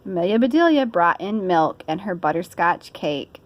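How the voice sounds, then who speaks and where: A woman narrates a picture-book story for children. Her delivery is playful and highly animated in pitch, with casual asides to the listener. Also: normal speed, slight background noise. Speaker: storybook reader.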